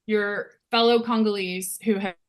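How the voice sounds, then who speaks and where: A woman from the United States moderating a panel discussion, casual and chatty, with quick asides. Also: mid-range voice, fairly even intonation.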